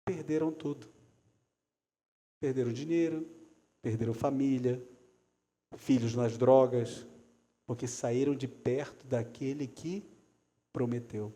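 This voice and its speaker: A man preaching a sermon, swinging between loud calls and quiet asides. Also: medium pitch, steady tone, slow, pauses often. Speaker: pastor. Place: church hall. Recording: long church hall reverb.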